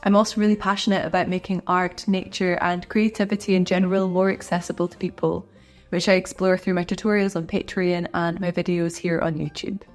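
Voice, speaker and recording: A woman's voice: lowish voice, flat delivery, brisk, soft background music. A woman artist chatting to camera in an encouraging, friendly way, sounding a little stuffed up from a cold. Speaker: artist and video creator. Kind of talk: casual talk to camera.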